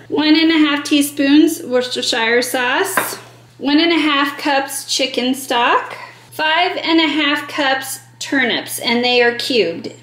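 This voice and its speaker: A woman in her thirties hosting a home cooking vlog, talking step by step through a recipe to a handheld camera in her kitchen. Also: sits high. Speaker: vlog host. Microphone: handheld camera close to face.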